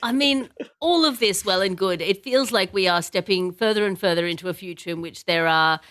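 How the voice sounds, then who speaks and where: A woman's voice: medium pitch, fairly quick, fairly bright tone, no room sound, clean studio sound. A woman hosting a satirical news panel, speaking in articulate, wordy and rather formal phrases. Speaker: podcast host.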